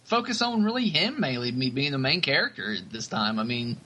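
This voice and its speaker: Bright, husky male podcast co-host. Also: mid-range voice, expressive, fairly quick. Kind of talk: casual discussion.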